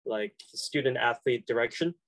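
A man's voice: medium pitch, normal speed, fairly bright tone, no echo. A young man, a college student, answering questions casually with many fillers and restarts. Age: young adult. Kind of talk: casual conversation.

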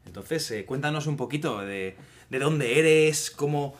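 A podcast co-host from Spain who speaks in a relaxed way, with many fillers and drawn-out questions. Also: mid-range voice. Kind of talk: casual podcast interview.

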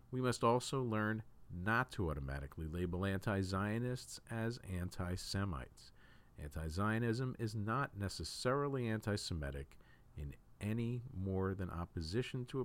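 A man reading written opinion articles aloud as a news host, in a measured, deliberate way with emphatic phrasing. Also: deep voice.

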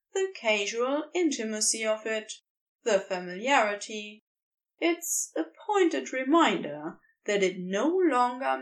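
Slow, very lively higher-pitched woman narrator. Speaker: podfic reader. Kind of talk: scripted story narration.

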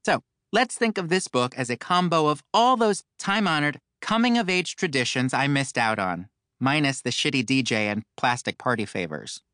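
A man's voice: mid-range voice, animated, moderate pace, pushed and bright, no room sound, clean studio sound. A man reading his own memoir aloud as an audiobook, with campy, wry comic asides.

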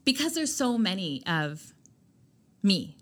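A woman guest on a chat podcast talking candidly about founding her own skincare brand, loud and emphatic, with lots of "like" fillers. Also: mid-range voice, very animated, takes it slowly. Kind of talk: candid conversational interview.

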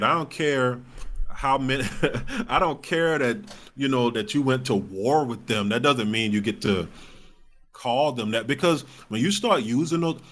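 Male podcast guest, thirties, average pitch, informal halting delivery, blunt conversational talk. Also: fairly bright tone. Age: 30s. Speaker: podcast guest, stand-up comic. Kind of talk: casual conversational interview.

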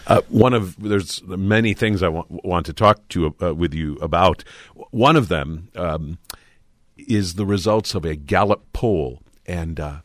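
A man interviewing in a conversational style, phrasing his questions carefully with pauses. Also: low-pitched, no echo, clean studio sound.

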